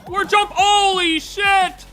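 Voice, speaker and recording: A streamer reacting live to a game with excitable, sarcastic, joking commentary. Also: very high voice, slow pace, over music.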